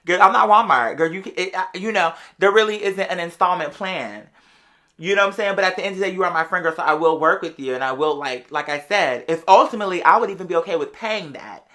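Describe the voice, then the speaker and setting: Fast, bright, sassy American storyteller. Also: mid-range voice. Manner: sassy, slangy, conversational. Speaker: vlogger. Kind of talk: casual storytelling to viewers.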